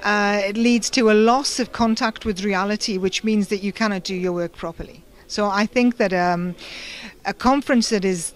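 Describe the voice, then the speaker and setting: A middle-aged British woman translator, speaking thoughtfully in an interview and pausing often with fillers. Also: medium pitch, fairly even intonation. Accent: British English. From United Kingdom. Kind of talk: conference interview and personal story.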